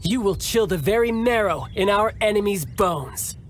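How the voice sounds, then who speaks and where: A cocky young commander. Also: male voice, sits high, animated, normal speed, slight background noise. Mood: commanding. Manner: confident speechmaking with awkward improvised cover stories. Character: cocky young male commander, playful and doting. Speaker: young military commander posing as a toy seller. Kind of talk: game cutscene voice acting. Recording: soft game music underneath.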